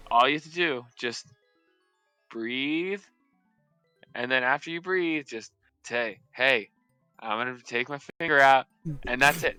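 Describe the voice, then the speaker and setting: A man's voice: medium pitch, bright, forceful tone, smooth, no room sound. A young man telling a story casually on a stream, rambling with many restarts and fillers and often breaking into laughter. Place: indoors at a streaming setup.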